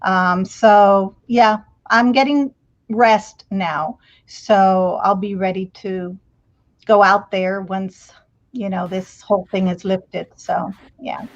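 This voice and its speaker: A woman chatting casually as a panelist, in a halting, unhurried way. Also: medium pitch, fairly even intonation. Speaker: children's author, panelist. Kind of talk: casual chat. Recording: dull, lo-fi call audio.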